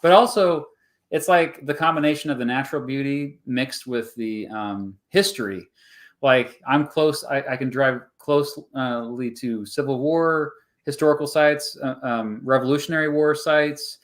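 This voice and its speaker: A man with an American accent hosting a casual, unscripted interview, rephrasing his thoughts mid-sentence in a halting way. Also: medium pitch. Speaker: YouTube host in a two-way interview.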